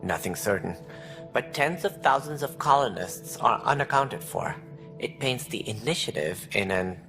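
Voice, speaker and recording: An actor playing a calculating, self-assured alien director in a video game, speaking in a measured, formal, condescending way. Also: male voice, mid-range voice, lively intonation, sharp tone, soft background music. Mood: formal, grave. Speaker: director of a space initiative. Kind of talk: video game cutscene acting.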